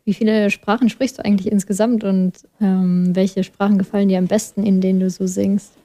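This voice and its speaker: A woman co-host with a mellow, warm voice and an even, flat melody. She puts her questions to the guest in a measured way. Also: medium pitch. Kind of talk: asking interview questions.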